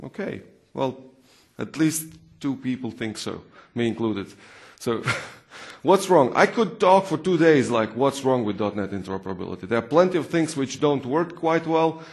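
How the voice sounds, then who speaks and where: A man's voice: mid-range voice, very animated, moderate pace, darkish tone. A man from Croatia with a Croatian accent presents live to a technical audience, informally, often posing questions and repeating words for emphasis. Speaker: conference presenter, software consultant and trainer.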